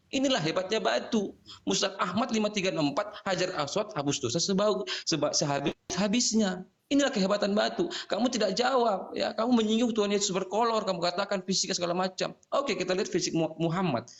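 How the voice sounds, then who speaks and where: A man with a gravelly, fairly high voice in a heated debate. He pushes his points hard and stacks up citations. The audio is dull and lo-fi, like an online call. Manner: pressing, cites hadith numbers.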